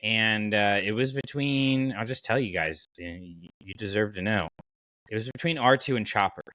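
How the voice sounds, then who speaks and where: Male podcast host, bright and punchy, very dry sound, casually arguing his case in everyday slang. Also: on the low side, normal speed, dry recording, low-quality recording. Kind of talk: casual fan podcast discussion.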